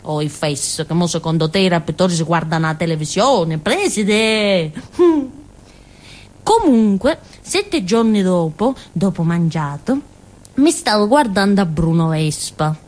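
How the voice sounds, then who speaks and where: A woman's voice: on the low side, lively intonation, measured pace, a little mellow. An actress playing a naive, stubborn village simpleton girl in a theatrical monologue, with a Calabrian southern Italian accent and a childlike, colloquial, rambling way of talking. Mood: animated, wondering. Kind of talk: theatrical monologue, radio drama storytelling.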